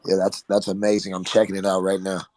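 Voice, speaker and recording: A man's voice: sits low, monotone, fast, a little mellow. A man in a casual chat, inquisitive and emphatic, often repeating words as he makes his point. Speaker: participant asking questions.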